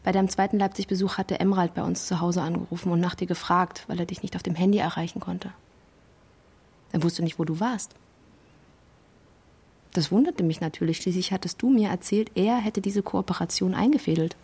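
A woman's voice: on the low side, fairly even intonation, fairly quick, gravelly, dark tone, slightly rough. An actress playing a hurt, bitter wife who confronts her partner in a naturalistic radio play, controlled but accusing.

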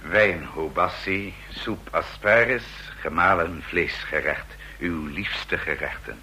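A deep-voiced, formal butler. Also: male voice, sharp tone, a little hiss or hum, exaggerated voice. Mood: formal, polite. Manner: formal, polite, deliberate. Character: formal, watchful male house servant. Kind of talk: vintage radio drama acting.

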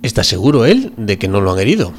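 A man as a low-voiced, courteous ship's doctor. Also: huge pitch swings, fast talker, slight background noise. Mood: insistent, anxious. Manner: formal, polite, explanatory. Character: courteous, fussy ship's doctor, deferential and apologetic. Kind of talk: amateur radio drama reading.

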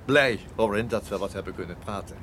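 An actor playing a sentimental male gangster in a radio play, speaking in a halting, conciliatory way. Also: fairly low pitch, lightly acted. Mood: hesitant, conciliatory. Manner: halting, hesitant. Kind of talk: radio drama dialogue. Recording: noisy outdoor street ambience behind dialogue.

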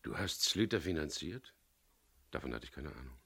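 An actor playing a terse, determined boat captain turned thief in a German radio crime drama. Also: male voice, extremely low pitch, big pitch swings, normal speed, vocal fry, sharp tone, slightly rough, full character voice. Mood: guarded, challenging. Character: gruff, stubborn male boat captain and diver turned thief. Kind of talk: German radio crime drama acting with first-person narration. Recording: 1970s radio play production.

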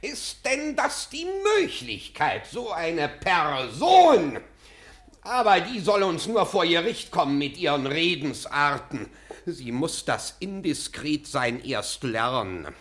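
Imperious investigator, classic German radio drama. Deliberate pace, very animated intonation, sarcastic and blustering. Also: male voice, a little high, husky, full character voice. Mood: indignant. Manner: theatrical, emphatic, sarcastic, swinging from muttering to barking. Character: imperious, blustering male investigating official, pedantic and easily outraged. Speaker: actor.

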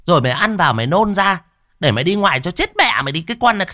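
A man voicing a furious middle-aged village woman hurling rapid curses. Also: high-pitched, big pitch swings, bright, forceful tone, no echo, clean studio sound, voice pushed up, heavily acted. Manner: rapid angry cursing.

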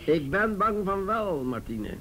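A man's voice: high voice, sing-song, a little slow, a bit bright, full character voice. An actor playing an older, jovial and patronising male police chief in a radio drama, hesitant and avuncular. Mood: placating. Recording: radio drama with faint background noise.

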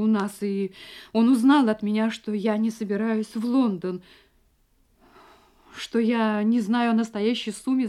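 An actress playing a frightened wife in a Russian audio drama, speaking in an agitated, halting, dramatic way. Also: female voice, fairly high pitch, dark tone, close to an everyday voice. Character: frightened young wife recounting her escape from a murderous husband.

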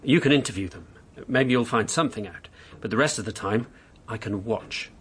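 A man plays a frank, restless housebound husband in a radio drama. He talks in a mid-pitched voice with a needling, mischievous edge, over a slightly noisy, rumbling track. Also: brisk, a bit bright, close to an everyday voice. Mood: conspiratorial.

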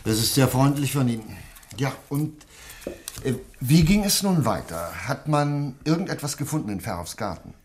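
An actor playing a courteous, worried academic in a radio play, speaking in a hesitant, earnest way over some noise. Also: male voice, medium pitch, sing-song, moderate pace, a little mellow, lightly acted. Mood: polite. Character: worried, courteous male academic doctor investigating disappearances.

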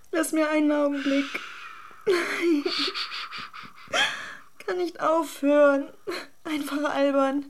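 A woman's voice: sits high, level tone, slow, a little hiss or hum. An actress playing an adoring, despairing woman in a secret love affair, in an intimate, emotional radio drama. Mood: tearful.

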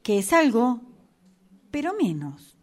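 A woman's voice: on the high side, big pitch swings, slow pace, heavily acted. A woman reading a resigned tramp who looks for small consolations in an amateur radio play. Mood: resigned, wondering.

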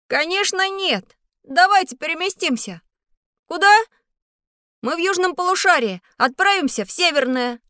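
A woman voicing an earnest, curious young schoolboy in an educational audio drama, speaking in a thoughtful, clear way. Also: extremely high pitch, expressive, normal speed, bright, forceful tone, dry recording, clean studio sound, heavily acted. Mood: eager, curious.

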